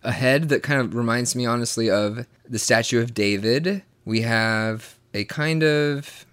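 A man's voice: medium pitch, measured pace, a bit bright, smooth. A man in his late twenties talking in a casual chat, rambling and often restarting his words, with sarcastic asides. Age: 20s. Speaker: guest. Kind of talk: casual conversation.

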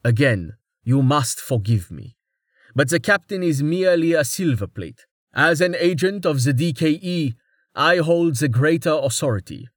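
A man's voice: fairly high pitch, expressive, moderate pace, no echo, clean studio sound, voice pushed up. A man voicing a winged bird-man nobleman who speaks in stiff, overly courteous formal phrases. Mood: pompous.

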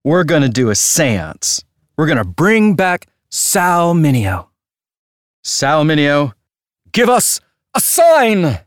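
A man's voice: fairly high pitch, wildly swooping pitch, dry recording, heavily acted. An actor plays a male walking tour guide in an audio drama, a flippant showman with a taste for the macabre. He takes his time with each phrase in a wry, theatrical way. The sound is dry, with no room around it. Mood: theatrical, showy. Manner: theatrical, wry, drawn-out storytelling.

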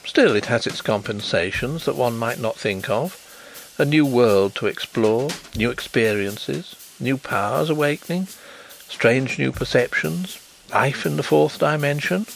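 A man voicing a suave, quietly thoughtful, self-assured blind gentleman in a storybook reading. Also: medium pitch, unhurried, gravelly, faint music behind, poor audio.

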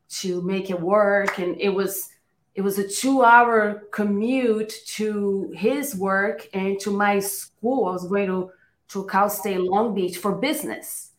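A woman from Brazil with a Brazilian accent, a native Portuguese speaker, giving conversational answers as an interview guest. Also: mid-range voice. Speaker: podcast guest, coach and therapist. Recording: roomy, echoey room sound.

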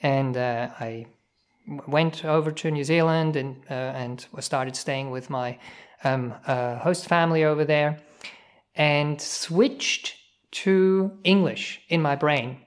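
A man from Switzerland, a Buddhist monk leading a meditation by telling a relaxed personal story, hesitant, with many fillers and soft chuckles. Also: on the high side, takes it slowly.

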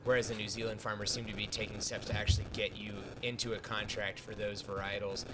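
A man with a fairly low voice talks as a brewer in a casual podcast interview. He explains things at length, reflective and careful to hedge. There is clear noise behind him. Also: flat delivery, quick pace. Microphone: podcast microphone.

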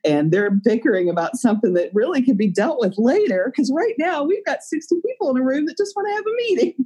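A woman's voice: sits high, animated, quick pace, dark tone, husky. A woman co-hosting a casual podcast, speaking candidly and self-deprecatingly and laughing often. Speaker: podcast co-host. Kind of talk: casual chat.

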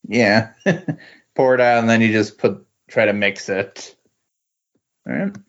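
A man's voice: fairly low pitch, animated, a little slow. A man chatting casually about comic books as a podcast guest, in a hesitant, agreeable manner. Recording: dull, lo-fi recording.

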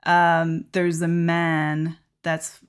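A woman's voice: low voice, completely flat delivery, very slow, clear, resonant tone. A woman on a casual film podcast, thoughtful and a little hesitant.

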